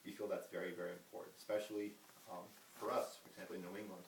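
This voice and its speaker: A young man giving a halting campaign speech full of ums and uhs while losing his voice. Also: low voice, level tone, gravelly. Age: young adult. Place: meeting room at a retreat. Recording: roomy, distant, noisy hall recording.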